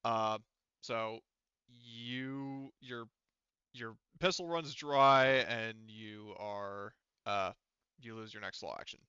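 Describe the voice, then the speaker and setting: A man with a bright, forceful voice runs a tabletop game as game master. He explains rules in a halting, careful way. The sound is dry and close, slightly dull. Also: on the low side, clear, resonant tone, no room sound.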